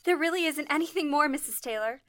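Worried young female operator, played by an actress, high-pitched, subdued and deferential, vintage-style radio drama. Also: fast, fairly bright tone. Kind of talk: 1930s-style radio play acting.

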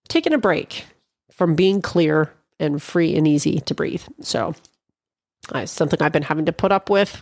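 A woman from Canada talks through her solo podcast in a loose, rambling way, often restarting her sentences. Her voice sits fairly low and sounds a little stuffed up and nasal. The recording is dry and close to the mic. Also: dry recording. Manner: chatty and rambling, with frequent restarts. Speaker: podcast host. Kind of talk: casual solo podcast monologue.

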